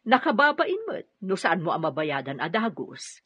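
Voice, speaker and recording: A responsible Filipino elder sister who nags her brother in a radio drama. Her low, husky voice swings widely in pitch. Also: very animated, normal speed, fairly bright tone, no room sound, exaggerated voice. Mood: scolding. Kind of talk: Ilocano radio drama acting. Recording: dry, dull lo-fi radio drama audio.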